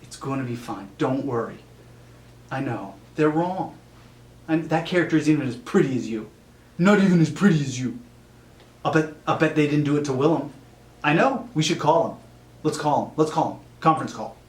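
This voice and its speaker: A man's voice: fairly high pitch, animated, normal speed, pauses often, roomy sound, close to an everyday voice. A man playing a fussy, melodramatic friend who gushes repetitive reassurance in a comic improvised skit. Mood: soothing, conspiratorial. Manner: gushing, repetitive, cooing reassurance. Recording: noisy humming home recording.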